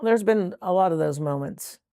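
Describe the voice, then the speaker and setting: A woman's voice: lowish voice, moderate pace, warm tone, no room sound, studio quality. A middle-aged woman from Trinidad and Tobago, a sanctuary founder speaking earnestly and reflectively in a documentary interview. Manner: earnest, reflective, with frequent fillers.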